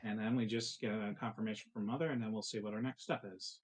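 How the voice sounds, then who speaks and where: A man's voice: on the low side, steady tone, fast talker, slight background noise. A man talking casually as a player in a tabletop roleplaying game.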